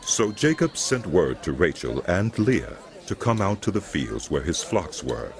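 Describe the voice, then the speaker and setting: A man's voice: low-pitched, very animated, a little slow. A man giving scripted narration for a dramatized audio Bible.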